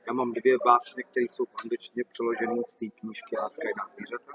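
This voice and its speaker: A man, a poet, explaining in a brisk, matter-of-fact way what he will read. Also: mid-range voice, little pitch movement, a bit bright, poor audio.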